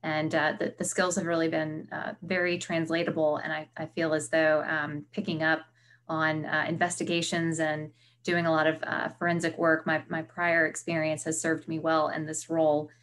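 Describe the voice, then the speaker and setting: A woman's voice: sits low, level tone, moderate pace, fairly bright tone, slight background noise. A woman introducing herself as a panelist on a webinar, speaking hesitantly and repeating words.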